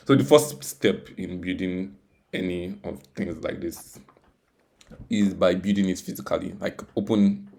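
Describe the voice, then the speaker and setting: Low-voiced man, rambling casually. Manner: rambling, self-deprecating, repeats words and phrases. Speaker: tutorial host, software developer. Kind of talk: casual, unscripted coding screencast walkthrough.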